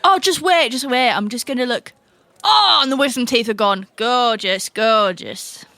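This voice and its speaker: A woman's voice: fairly high pitch, animated, normal speed, bright, forceful tone, dry recording. A woman playing an elderly, tooth-obsessed, self-righteous dentist in an improvised comedy role-play, with a theatrical, emphatic delivery. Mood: creepily enraptured.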